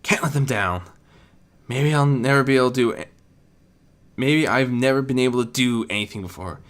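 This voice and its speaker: A man's voice: medium pitch, normal speed, voice pushed up, close to an everyday voice. A man voicing a small young male dragon, an earnest hero who is frightened yet determined, in a dramatic story reading. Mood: self-doubting. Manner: stammering, earnest self-encouragement. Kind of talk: dramatic audiobook character voice.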